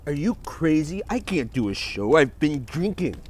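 A man's voice: on the high side, sing-song, moderate pace, darkish tone. A man in his thirties co-hosting a comedy sports show, delivering lines with mock-dramatic earnestness outdoors in the snow. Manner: theatrical, gravely earnest delivery played for laughs. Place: outdoors in snow beside a stadium.

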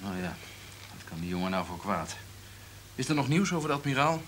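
An actor playing an impatient, sceptical radio station boss in a radio drama, curt and full of questions. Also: male voice, medium pitch, big pitch swings, brisk, fairly bright tone, slight background noise. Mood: impatient, muttering.

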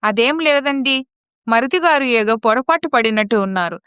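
A woman playing a dutiful, respectful, patient elder daughter-in-law in a moral story drama, polite and soft-spoken. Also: fairly high pitch, normal speed, clean tone, dry recording, studio quality, close to an everyday voice. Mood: concerned.

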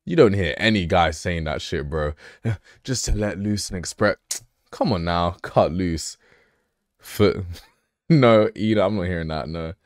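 Deep-voiced mocking streamer. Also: male voice, measured pace, no echo, studio quality. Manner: loud, mocking, profane banter. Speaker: streamer reacting to a video. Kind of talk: casual live-stream commentary.

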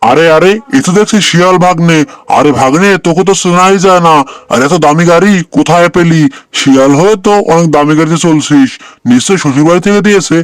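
A man's voice: fairly high pitch, quick pace, clean tone, natural voice. A man voicing a greedy, bullying tiger uncle in a cartoon, wheedling and threatening in colloquial speech. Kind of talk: cartoon character acting.

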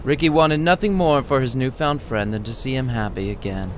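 A man's voice: mid-range voice, a little fast, slight background noise. A man reading his own short story as scripted narration, with a dramatic, playful storytelling delivery. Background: music under the voice.

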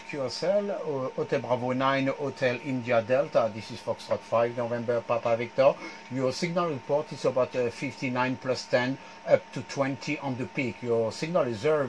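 A man's voice: medium pitch, normal speed, a little hiss or hum, rough recording. A middle-aged man with a French accent making amateur radio contacts, spelling out call signs phonetically. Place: small home radio room. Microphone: headset.

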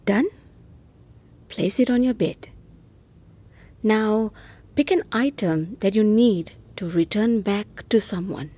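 A woman narrating a scripted guided meditation softly and gently, often close to a whisper, with long unhurried pauses. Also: medium pitch, lively intonation. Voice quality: soft, breathy, often near whisper.

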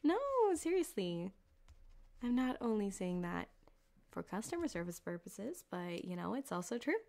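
A woman voicing a flirtatious, sweetly menacing yandere cyberpunk doctor in an ASMR roleplay, soft-spoken and halting, often slipping into a whisper, close on a binaural mic. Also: medium pitch, sing-song, creaky. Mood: flirty, admiring. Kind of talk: ASMR roleplay addressed to the listener. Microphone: close binaural microphone.

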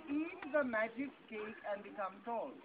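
Tinny, high-pitched woman telling children a story. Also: expressive, a little slow. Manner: playful, questioning, prompting the audience. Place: busy book festival event. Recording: tinny phone recording amid crowd chatter. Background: chattering children and crowd.

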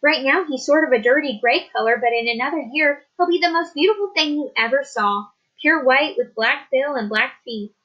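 An earnest, polite young boy who pleads his case with care, as a woman reader puts him on. The voice is high and bright, and the recording sounds slightly dull and lo-fi. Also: normal speed, hardly any pauses.

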